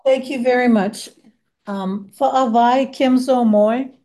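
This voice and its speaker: Slow, relaxed woman chairing a meeting. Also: a little high. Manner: slow, relaxed, brief cues, laughs easily.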